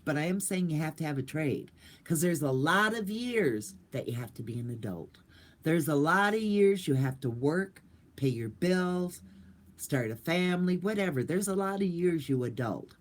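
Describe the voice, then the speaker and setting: A woman's voice: deep voice, lively intonation, normal speed. An older woman vlogging in a casual, rambling and goofy way, chatting to viewers. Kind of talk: casual livestream chat.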